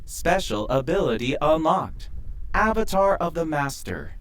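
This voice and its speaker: A man voicing an impersonal game system in an audio drama, announcing in a formal, deliberate way. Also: fairly high pitch, sing-song. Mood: formal, listing.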